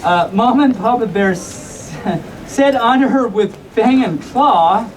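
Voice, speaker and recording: Animated woman storyteller, distant mic. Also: a little high, slow. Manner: playful, self-interrupting. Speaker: live storyteller. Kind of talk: comic bedtime story reading with chatty asides. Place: hotel convention room before a live audience. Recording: distant mic, noisy audience around.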